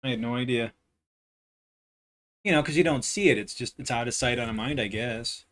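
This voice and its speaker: A man with a somewhat deep voice chatting casually on a live stream at home, thinking aloud in a rambling, unhurried way into a desk microphone. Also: a bit bright.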